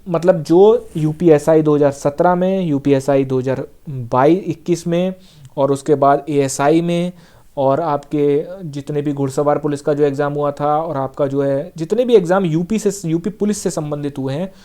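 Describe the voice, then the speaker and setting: A man's voice: a little high, a little mellow. A young man giving casual exam-preparation advice to students, speaking directly and repeating key points with frequent check-ins. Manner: direct, repetitive coaching, frequent "theek hai" check-ins. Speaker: exam coaching teacher.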